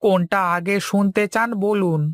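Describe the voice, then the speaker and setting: A woman's voice: medium pitch, steady tone, moderate pace, no echo, clean studio sound, natural voice. A woman voicing a loyal, deferential servant and messenger in a cartoon, speaking assuringly. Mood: reporting news. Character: loyal servant-messenger watching over the master's daughters. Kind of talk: Bengali cartoon voice acting. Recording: music under the voice.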